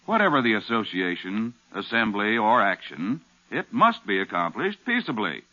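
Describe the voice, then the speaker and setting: A man's voice: on the low side, wildly swooping pitch, normal speed, bright, forceful tone. American guy doing a solemn 50s radio announcement, punchy and swoopy, dull old recording. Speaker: public service announcer. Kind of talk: scripted 1950s radio announcement. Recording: muffled old radio broadcast.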